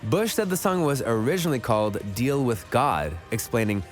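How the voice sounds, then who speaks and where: A man's voice: medium pitch, very animated, moderate pace. Man narrating a countdown over music. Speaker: narrator of an online list video.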